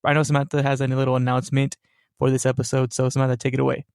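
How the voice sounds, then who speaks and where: A man's voice: medium pitch, completely flat delivery, very fast, gravelly, a little mellow, smooth, no echo, clean studio sound. A young man hosting a casual supernatural podcast, rambling with fillers and tag questions like "right?". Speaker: podcast host.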